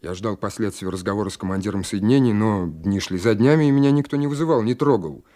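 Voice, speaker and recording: A man's voice: fairly low pitch, fast, mellow, lightly acted. An actor playing an earnest, ambitious young naval lieutenant who also narrates his story in a radio play. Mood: reflective. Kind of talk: radio play acting and first-person narration. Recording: studio radio play, faint music.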